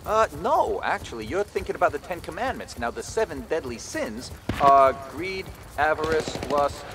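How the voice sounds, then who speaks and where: An actor playing a nerdy, wary young soldier in a video game, wordy and sarcastic. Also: male voice, on the high side, sing-song, normal speed, background noise. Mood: dry, sarcastic.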